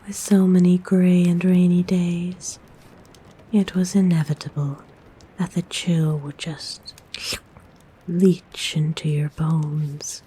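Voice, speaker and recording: A tender forest witch acted by a woman speaks in a soft, breathy whisper, low and dark in tone. She is kind and soothing, as in an ASMR roleplay, with faint ambient noise behind her. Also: flat delivery, slow pace. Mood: fond, nostalgic. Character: kind, gentle forest witch sheltering a lost traveler.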